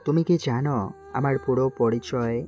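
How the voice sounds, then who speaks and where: An earnest young prime minister in disguise, as a woman voices him for an amateur audio story. The voice sits extremely low with a dark, mellow tone, soft and tender in delivery. Faint music plays underneath. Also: steady tone, normal speed. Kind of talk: amateur audio drama acting. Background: faint background music.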